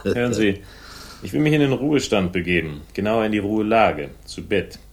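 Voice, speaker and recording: An actor playing a world-weary private investigator, dry, sardonic and slightly gravelly, in a 1960s German radio crime drama. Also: male voice, lowish voice, a little fast. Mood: sardonic, cool. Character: world-weary, wry, tall male private investigator narrating.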